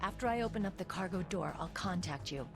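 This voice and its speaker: A woman's voice: fairly low pitch, lively intonation, a little fast, vocal fry. An actress playing an earnest, determined young female soldier in a dramatic video game scene. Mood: resigned. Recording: game audio under background music.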